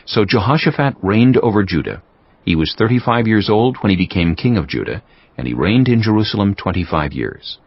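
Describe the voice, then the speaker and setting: Male scripture narrator, very low and husky, measured delivery, slightly muffled sound. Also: animated, dry recording. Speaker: audiobook narrator.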